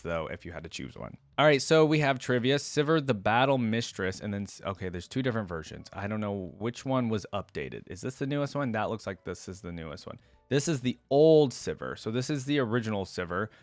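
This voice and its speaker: A man hosting a casual online reaction video, reading wiki text aloud and thinking out loud in an informal way. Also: medium pitch, no room sound. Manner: informal, thinking aloud between read-out passages. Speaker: YouTube host reacting to game lore.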